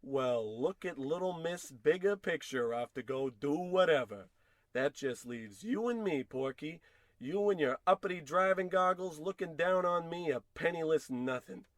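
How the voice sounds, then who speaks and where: A man's voice: fairly high pitch, lively intonation, moderate pace, dry recording, natural voice. A man playing a frustrated, self-pitying unemployed husband in an old-time radio comedy, wordy, sarcastic and theatrical. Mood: sulky, brooding. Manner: wordy, indignant, sarcastic rambling.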